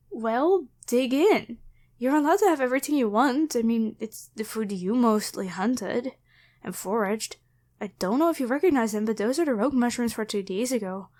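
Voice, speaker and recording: A woman's voice: fairly high pitch, a little mellow. A woman voicing a grateful, flustered young woman who rambles and stammers apologetically in an ASMR roleplay spoken to the listener. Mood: proud, flustered.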